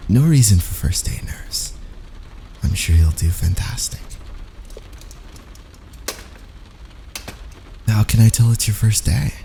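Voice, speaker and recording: Male actor as a teasing young fantasy prisoner, intimate ASMR, low creaky voice, breathy playful asides, unhurried, faint background noise. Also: dark tone. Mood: teasing, smug. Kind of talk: intimate ASMR boyfriend roleplay.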